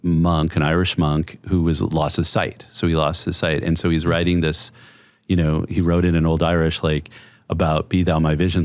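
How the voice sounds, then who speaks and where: Middle-aged male therapist guest, very low bass voice, calm and measured, dry close mic. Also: no room sound. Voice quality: deep bass voice. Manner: soothing, measured, explanatory. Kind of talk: conversational interview.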